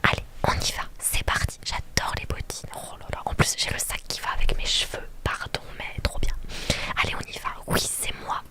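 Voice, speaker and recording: A young woman speaks entirely in a soft, breathy whisper. She moves between reading game text aloud and chatting to her live stream viewers in an ASMR style. Her delivery is playful and keen. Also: moderate pace. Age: young adult.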